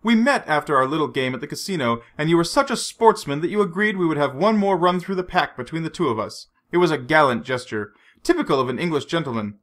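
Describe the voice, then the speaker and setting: A man reading a storybook, voicing a suave, menacing male villain who mocks his captive with unhurried threats. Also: a little high, animated, a bit bright, voice pushed up. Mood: mocking. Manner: silky, mocking, unhurried threats.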